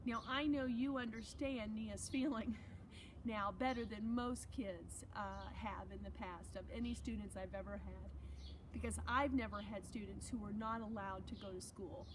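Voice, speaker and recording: A woman's voice: medium pitch, steady tone, moderate pace, background noise. A woman teacher reading a children's novel aloud to her students, expressively, with chatty asides.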